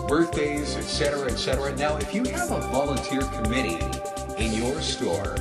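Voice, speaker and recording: A man delivers a scripted training presentation in a persuasive, emphatic manner. His pitch moves up and down in a lively, animated way, and he enunciates with care. Music is clearly heard along with his voice. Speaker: presenter of a retail announcing guide.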